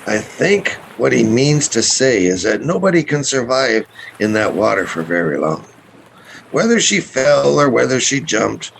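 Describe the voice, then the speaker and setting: A man playing a practical, businesslike sea captain with a gravelly voice in a radio play. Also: mid-range voice, very animated, a little slow, fairly bright tone, exaggerated voice, rough recording. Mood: matter-of-fact. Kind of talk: radio play acting with first-person narration. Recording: faint background noise.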